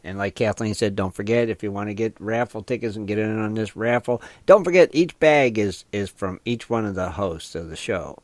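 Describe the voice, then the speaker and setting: Man, radio host, casual chat, agreeable, laughs a lot, slightly low voice. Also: lively intonation, moderate pace, hardly any pauses, darkish tone, no echo. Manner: upbeat, agreeable, laughs often.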